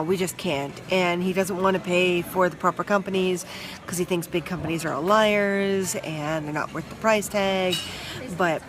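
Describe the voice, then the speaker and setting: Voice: mid-range voice, background noise. A vlogger from Toronto, chatting casually with live viewers from a flea market stall, rambling with lots of restarts and trailing asides. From Toronto, Canada. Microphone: handheld phone. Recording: busy outdoor market crowd.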